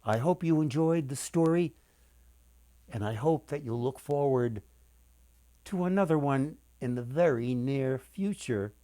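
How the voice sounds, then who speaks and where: An older man reading a children's story expressively, with dramatic pauses, addressing his listeners directly. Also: medium pitch, dark tone, no room sound. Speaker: author and storyteller.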